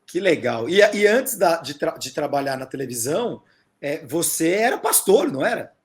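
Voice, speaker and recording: A man's voice: on the high side, very animated, normal speed, slightly rough. A man with a Brazilian accent hosting a casual sports chat and asking questions as interviewer. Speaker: interviewer, host.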